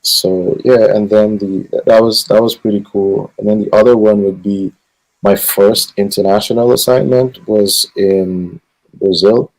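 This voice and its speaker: Deep-voiced Guyanese man, rambling. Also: steady tone, measured pace, darkish tone, low-quality recording. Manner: rambling with many fillers. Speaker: gospel singer guest. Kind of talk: casual interview answers.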